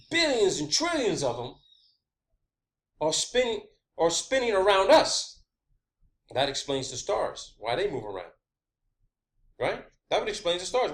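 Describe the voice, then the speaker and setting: A man delivering an informal, rambling science-theory monologue, emphatic and sweary, often repeating his phrases. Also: high voice. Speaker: online video host.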